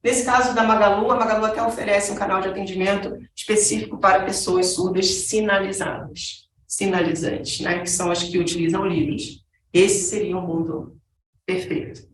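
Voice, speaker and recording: A woman's voice: mid-range voice, slight background noise. A woman with a Brazilian Portuguese accent giving a conversational presentation, asking the audience rhetorical questions. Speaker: speaker giving a talk on accessibility. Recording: echoey room, voice from a distance.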